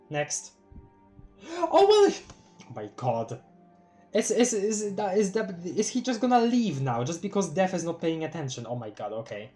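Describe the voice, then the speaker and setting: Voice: mid-range voice, a little slow, pauses often, soft background music. Giggly Polish-accented streamer reading aloud. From Poland. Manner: giggly and excitable, reading aloud with stumbles over long words. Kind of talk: casual live-stream reading a webcomic aloud.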